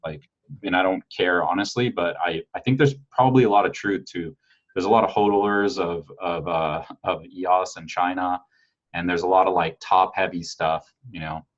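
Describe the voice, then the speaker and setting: Deep husky man, rambling podcast chat. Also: fairly even intonation, moderate pace. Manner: rambling, filler-heavy, frank with casual swearing. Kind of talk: casual podcast conversation. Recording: dull lo-fi sound.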